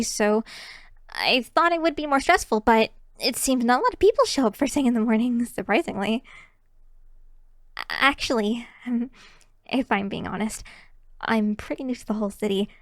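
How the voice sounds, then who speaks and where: A woman's voice: on the high side, a little fast, dry recording, clean studio sound, lightly acted. A woman voicing a shy, cheery young kitsune barista in an ASMR roleplay, chatting to the listener in a bashful, hesitant, giggly way. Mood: shy, chatty.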